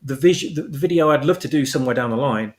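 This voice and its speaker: A man with a medium-pitched voice talks casually as a guest about creative work. He speaks haltingly, circling back and rewording his phrases as he goes. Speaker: guest, audio drama creator. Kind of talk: casual discussion.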